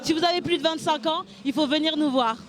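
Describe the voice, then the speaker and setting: Female exhibitor, very high-pitched voice, delivering a promotional product presentation. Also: quick pace, loud music behind the voice, over a noisy crowd.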